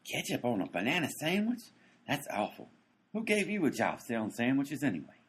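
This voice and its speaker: An actor playing a gruff, bossy sandwich-shop owner in a comedic radio play. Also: male voice, mid-range voice, wildly swooping pitch, fairly quick, slight background noise. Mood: grumpy.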